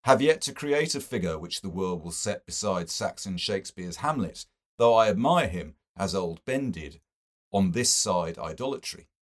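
A man's voice: low voice, animated, normal speed. A man reading a novel and voicing a censorious older literary man with a sedate, mocking, superior manner.

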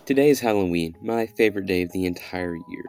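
A man's voice: low voice, brisk, darkish tone. A young man reading his own horror story as a podcast host, in dramatic first-person narration. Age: young adult. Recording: music behind the voice.

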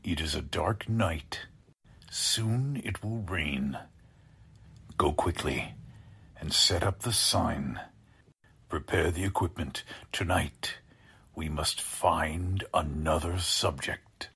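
A creepy mad scientist for a radio play, slow and gravelly, intense, bossing his helper around. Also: rumbling low, sharp tone, heavily acted. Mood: sinister, hushed. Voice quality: husky, gravelly. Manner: slow, intense, breathy whispering and urgent commands. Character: deranged male scientist, obsessive, sinister, commanding his assistant. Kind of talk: radio drama acting.

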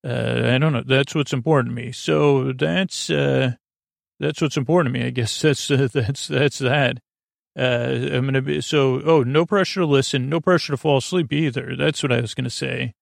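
A man hosting a bedtime podcast in a meandering, hesitant monologue, often interrupting himself, with a gravelly, creaky voice. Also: mid-range voice, normal speed, no room sound, clean studio sound. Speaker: podcast host.

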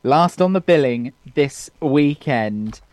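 A man's voice: medium pitch, expressive, slow, darkish tone, dry recording. A man hosting a casual football podcast, teasing his co-hosts and laughing often. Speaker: podcast host. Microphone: remote call.

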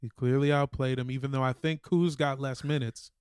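A man's voice: medium pitch, fairly even intonation, a little fast, darkish tone, clear, resonant tone, no room sound, studio quality. An American man co-hosting a podcast with casual, chatty sports banter. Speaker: podcast co-host.

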